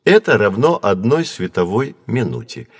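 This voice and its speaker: Astronomer guide, slightly deep, dry close sound, didactic and patient, for a children's educational audio play. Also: male voice, sing-song, dry recording. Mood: explaining. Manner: measured, didactic, clearly enunciated. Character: patient, learned male astronomer guiding children. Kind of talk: scripted educational audio drama.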